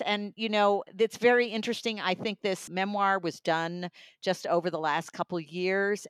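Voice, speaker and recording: Very clear, dry-miked woman reviewing audiobooks. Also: fairly low pitch, dry recording. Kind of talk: casual discussion and review.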